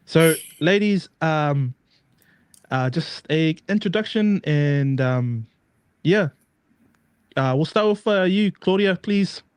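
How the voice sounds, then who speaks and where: A man hosting a casual podcast, his voice fairly high for a man. He hesitates constantly, scattering "uh" and "um" as he hands over to guests, and the sound is dry and close. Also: animated, slow, pauses often, clean tone, dry recording. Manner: hesitant, many fillers and restarts. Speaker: podcast host.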